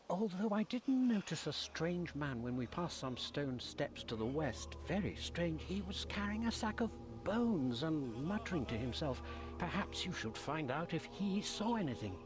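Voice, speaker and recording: An actor playing a devout, elderly monk in a video game, shaken and gentle, with a halting, earnest, musing way of talking. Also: male voice, on the high side, expressive. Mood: puzzled, musing. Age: older. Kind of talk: video game character dialogue. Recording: game dialogue over prominent music.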